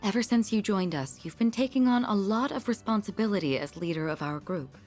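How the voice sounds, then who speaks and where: Game role: dignified, considerate young woman in charge, woman performer, mid-range voice, polite and measured formal delivery. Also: mid-range voice, lively intonation, a little mellow, faint music behind, natural voice. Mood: gracious, composed. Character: poised, courteous, considerate refined young woman of authority. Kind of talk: English video game voice acting.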